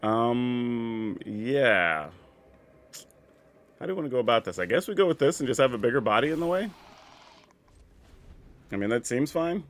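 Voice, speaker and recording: A man's voice: medium pitch, lively intonation, normal speed, pauses often. A man, congested from a sinus cold, chatting easily and thinking aloud while streaming a card game. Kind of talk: casual live-stream chat while playing.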